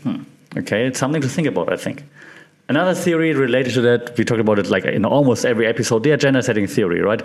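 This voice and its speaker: A man's voice: medium pitch, expressive. German-accented man, conversational podcast host. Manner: conversational, halting, repeats words, checks in with "right?". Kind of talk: informal explanatory solo podcast talk.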